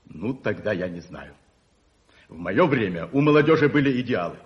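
An actor playing a proud, conservative older colonel in a radio play, blustering and old-fashioned. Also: male voice, on the low side, animated, normal speed, close to an everyday voice. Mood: indignant, disappointed. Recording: muffled 1981 radio recording.

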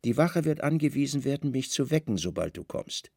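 A man playing a brooding, commanding Roman governor in a radio play, speaking with measured authority and pointed emphasis. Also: medium pitch, sing-song, gravelly, warm tone, no room sound, studio quality, voice pushed up. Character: brooding Roman governor, commanding, wry, insistent on his hunches.

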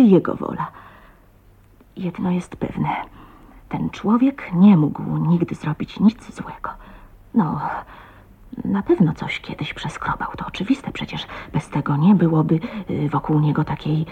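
An actress playing a shy, tender, anxious young wife in a radio drama, speaking in a breathy, hesitant, intimate way. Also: female voice, low-pitched, a little slow, dark tone, exaggerated voice. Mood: wondering, uneasy. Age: young adult. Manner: breathy, hesitant, emotive, often half-whispered. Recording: dull, muffled old radio recording.

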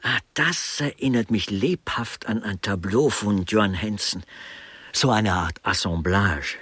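Give the part of a dry, pedantic art connoisseur in a radio crime comedy. His voice sits low and is rough-edged, recorded close and dry. He explains and probes in a knowing, deadpan way. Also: measured pace, dry recording. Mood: curious, matter-of-fact. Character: dry, inquisitive male artist, knowing about art.

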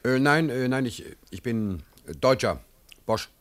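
An actor playing a guileless, cheekily unruffled German drifter held prisoner, speaking deferentially with dry humour in a radio play. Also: male voice, mid-range voice, a little slow, lightly acted. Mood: hesitant, sly innocence. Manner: naive, deferential, drily humorous.

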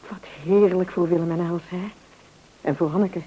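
An actress playing a warm, devout middle-aged wife and mother in a radio play, speaking in a homely, heartfelt way. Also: fairly low pitch, fairly even intonation, moderate pace, close to an everyday voice. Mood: glad, eager. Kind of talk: mid-century radio play acting. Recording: dull, noisy old radio recording.

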